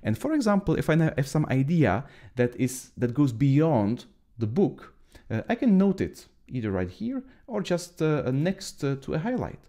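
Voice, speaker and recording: Czech-accented man with a mellow, lively voice. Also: a little high. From Czech Republic. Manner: halting, many fillers and restarts. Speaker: trainer. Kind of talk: screen-share tutorial.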